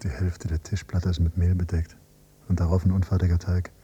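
Man acting bitter adult son, dark low voice, sombre radio drama delivery, measured, probing, faint tape hum. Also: completely flat delivery, close to an everyday voice. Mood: numb, sombre. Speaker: first-person narrator and character. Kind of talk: literary radio drama monologue and dialogue. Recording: noisy hummy cassette copy of radio play.

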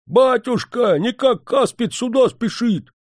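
Man playing a cheeky, loud peasant manservant. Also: a little high, big pitch swings, normal speed, breathy edge, no room sound, studio quality, pitched above the performer's own voice, exaggerated voice. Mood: loud, urgent. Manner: folksy, loud, mocking. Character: cheeky, blunt peasant manservant in historical Russia.

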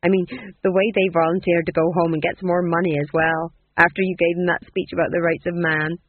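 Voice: medium pitch, flat delivery, brisk, no echo, narrow, tinny audio, close to an everyday voice. A narrator voicing a timid, weary and polite young male jester in an audiobook. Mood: amazed. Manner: nervous, earnest, polite.

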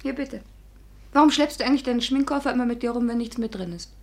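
A woman's voice: a little high, fast talker, a little mellow, lightly acted. A woman playing a chatty, teasing, worldly backstage actress in a 1950s German radio drama. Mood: dry, kindly.

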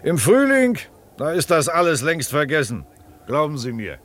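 An actor playing a formal, reasonable court counsellor with a commanding manner in a German radio drama. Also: male voice, on the high side, big pitch swings, moderate pace, fairly bright tone. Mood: reassuring. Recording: noisy background ambience.

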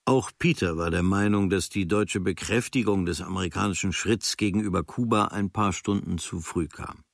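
A man playing an observant writer, reading his travel diary as a radio drama in measured, clipped literary phrases. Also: deep voice, vocal fry, dry recording, clean studio sound. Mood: measured, reflective.

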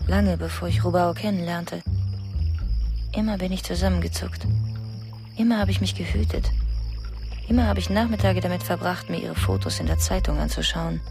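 An actress in a German radio drama playing a distraught, obsessive wife, speaking in an intense, brooding, insistent monologue. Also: female voice, fairly low pitch, sing-song, moderate pace, pauses often, music in the background. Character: distraught wife obsessed with her husband, a killer.

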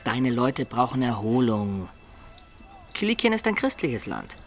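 An actor playing a loyal, observant companion who recounts a medieval campaign in measured, detailed radio drama narration. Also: male voice, mid-range voice, big pitch swings, faint music behind. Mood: earnest counsel.

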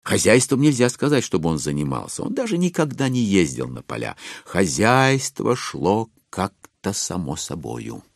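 A man playing a wry author-narrator in an audio drama, phrasing deliberately and expressively with irony. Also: sits low, wildly swooping pitch, creaky, no echo, clean studio sound, exaggerated voice.

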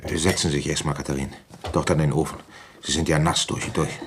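An actor playing a gruff, resigned, middle-aged French police commissioner in a German radio play, with a sardonic, grumbling way of talking. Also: male voice, a bass voice, steady tone, fast talker, noisy background. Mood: gruff, concerned. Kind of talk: German radio play acting and first-person narration.

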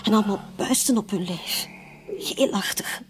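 An actress playing a frightened wife haunted by a nightmare, speaking in a halting, hushed, fearful way in a radio play. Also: female voice, medium pitch, a little hiss or hum, close to an everyday voice.

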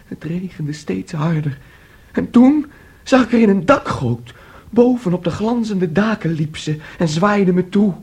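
An actor playing an anxious, boyish young man, naive and boastful, with an agitated, halting delivery in a Dutch radio play. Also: sits high, expressive, darkish tone, breathy edge, full character voice. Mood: troubled, confiding. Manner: agitated, halting, repeating himself.

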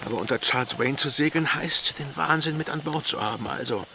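An actor playing a bold, wry male pirate with a gravelly voice in a German radio play. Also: medium pitch, fairly quick, noisy background, natural voice. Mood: wry, scheming. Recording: music behind the voice.

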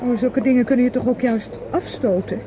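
An actress playing a meek, dutiful niece in a radio play, speaking in timid, halting, polite phrases. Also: female voice, on the high side, background noise, lightly acted. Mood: hesitant, polite. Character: meek, hesitant, dutiful unmarried niece, quietly evasive. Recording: thin, phone-like old radio recording.

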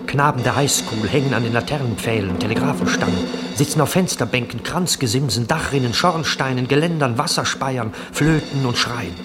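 A man narrating a 1960s German radio play in measured, formal scripted delivery. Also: mid-range voice, over loud music, natural voice. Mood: measured, descriptive. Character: measured narrator reading out stage scenes.

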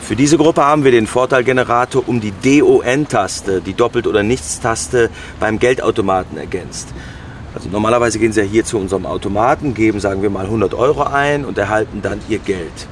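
A man's voice: fairly low pitch, brisk, slight background noise, lightly acted. An actor playing an earnest, self-justifying bank spokesman explaining a product in a radio play interview. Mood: explanatory.

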